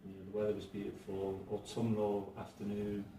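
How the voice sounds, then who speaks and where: A middle-aged man with a Liverpool accent, a podcast guest telling his story in a relaxed, rambling, thoughtful way. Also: lowish voice, a little fast. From Merseyside, England. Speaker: podcast guest, arts director. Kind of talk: casual conversational storytelling. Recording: echoey room, mic at a distance, faint noise.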